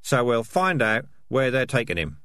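A man voicing a tough, loyal and suspicious male rat in a storybook, blunt and forceful. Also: medium pitch, very animated, normal speed, no echo, voice pushed up. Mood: matter-of-fact.

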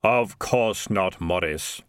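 A man voicing an unhurried, superior god in a storybook, slow and patronising. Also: sits low, a bit bright, smooth, no echo, clean studio sound, pitched below the performer's own voice. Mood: dry reproof.

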